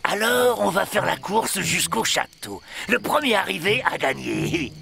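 A man voicing a sly gnome sorcerer in a cartoon, gravelly, theatrical and taunting with mock politeness. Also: high voice, very animated, a little slow, crowd noise, heavily acted. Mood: smug. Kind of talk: theatrical cartoon villain acting.

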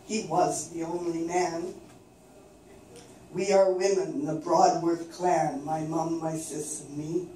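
An older woman from coastal British Columbia reading her own poems aloud in a slow, measured recitation at an open mic in a small venue. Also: low voice, fairly even intonation, dark tone. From coastal British Columbia, Canada. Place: small dim performance venue, indoors. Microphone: far camera. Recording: very echoey room, distant, faint noise.

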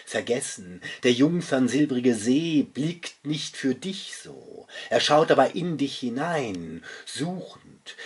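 A middle-aged man reciting his own literary poetry in a solemn, dramatic and deliberate manner. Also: mid-range voice, husky. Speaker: poet reading own work.

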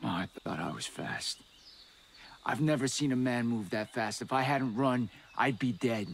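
A man's voice: medium pitch, normal speed, creaky, slight background noise, close to an everyday voice. A man playing a weary, wary middle-aged soldier in a video game, grim and matter-of-fact. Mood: grim warning. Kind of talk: video game cutscene acting.

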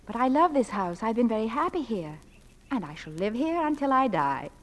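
A wistful, high-voiced radio-play mother. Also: sing-song, moderate pace. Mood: tender, reflective. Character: gentle, wistful widowed mother in middle age. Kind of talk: 1940s-style radio play acting.

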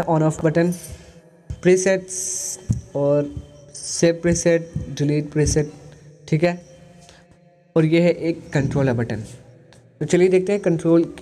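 A man with an Indian accent explaining software as a tutorial host, mixing in English phrases. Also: fairly high pitch, measured pace, long pauses, faint music behind.

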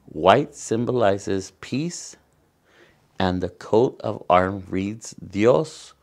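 Male storytime teacher, Salvadoran accent, low-pitched and unhurried, careful halting read of a picture book with friendly-aimed asides for kids. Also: expressive. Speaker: children's storytime teacher and host. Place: indoor room.

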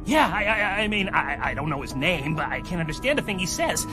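A man's voice: a little high, very animated, a little fast. An actor playing a frightened, pleading male prisoner in a motion comic, stammering and repeating himself nervously. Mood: nervous, placating. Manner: stammering, repetitive, nervous babbling. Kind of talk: dramatic motion comic voice acting. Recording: voice over loud dramatic music.

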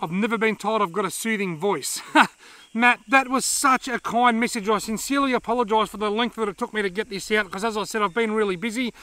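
An Australian man in his forties, chatting casually to camera outdoors as a vlog host, friendly and quick to laugh. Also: high voice, bright, forceful tone, dry recording. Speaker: fishing channel host. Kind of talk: casual vlog reading viewer mail. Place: outdoors in dry open woodland. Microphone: camera close up at arm's length.

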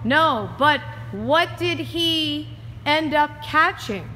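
Slow, bright-voiced woman PE teacher. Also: sits high, animated, big-room sound, a little hiss or hum. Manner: encouraging, coaching kids. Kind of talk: classroom instruction. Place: school gymnasium.

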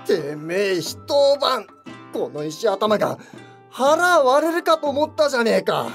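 A boozy male sake-bottle spirit , acted by a man, gruff and teasing. His slangy banter swings up and down in pitch. It is a fantasy comedy audio drama with faint music behind. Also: expressive, unhurried, fairly bright tone, full character voice. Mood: boozy, grumbling. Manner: rough, slangy, drawling banter.

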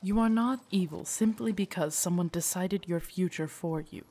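A woman playing a loyal, protective female knight in an audio drama, formal, deferential and earnest, with a husky voice. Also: lowish voice, normal speed, mellow. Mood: earnest, comforting. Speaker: voice actor in audio roleplay. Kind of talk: acted immersive audio drama monologue.

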